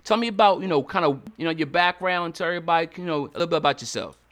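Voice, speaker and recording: A man's voice: fairly high pitch, very fast, a bit bright. A man hosting an interview show in an enthusiastic, slangy way and laughing often. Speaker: show host.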